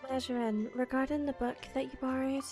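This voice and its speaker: A woman's voice: high-pitched, fairly even intonation, a little fast, a little mellow, faint music behind. A woman reading a player's dialogue lines aloud for a video game.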